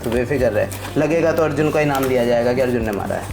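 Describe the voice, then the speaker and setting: Chatty man over clear music. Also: medium pitch, fast. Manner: informal, chatty, explains as he works. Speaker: vlog host and father. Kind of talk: casual chat while doing a DIY project. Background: clear background music.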